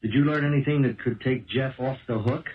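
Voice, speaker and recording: Protective brother, male actor: blunt, brisk, cautioning radio-play delivery through narrow, tinny old broadcast sound. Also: medium pitch, steady tone, natural voice. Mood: admonishing, concerned. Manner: emphatic, admonishing. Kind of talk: 1970s radio drama acting.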